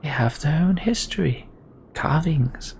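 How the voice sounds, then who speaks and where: A sly game storyteller woman, played by a man with a raised, breathy voice. She tells secret history theatrically and slowly, in hushed, measured phrases, over faint noise. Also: a little high, pitched above the performer's own voice. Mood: theatrical, knowing.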